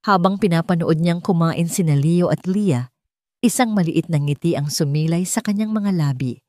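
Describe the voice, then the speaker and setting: A woman's voice: lowish voice, moderate pace, warm tone, dry recording, clean studio sound. A woman narrating a scripted dramatic story as an audiobook reader.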